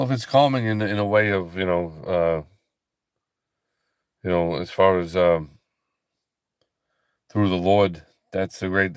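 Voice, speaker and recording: A tired man hosting a late-night ministry livestream, talking slowly and softly with a calm, relaxing voice, with many pauses and restarts. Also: sits low, no echo, clean studio sound. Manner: slow, halting, soft-spoken, many fillers and restarts. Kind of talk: casual late-night livestream chat with viewers.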